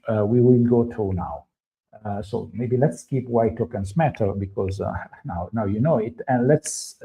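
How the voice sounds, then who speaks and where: A man's voice: lowish voice, warm tone, rough recording. A man with an Italian accent, a native Italian speaker, presenting on a live tech stream in a hesitant way with many fillers and restarts. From Italy. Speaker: stream co-host presenting a guide.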